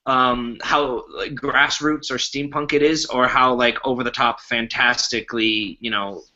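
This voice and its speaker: A man's voice: mid-range voice, fairly even intonation, a little slow, bright, forceful tone, lo-fi sound. A man chatting informally and enthusiastically on a podcast. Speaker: podcast guest, improv festival organizer. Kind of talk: casual podcast discussion.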